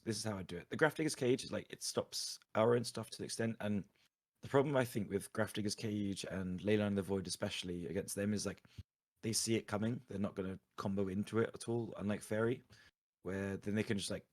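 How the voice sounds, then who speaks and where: A man with a slightly husky, tiring voice gives casual live game commentary, thinking aloud in an analytical way. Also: on the low side, steady tone, a little fast. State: tired voice going hoarse. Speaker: guest player coaching a card game stream.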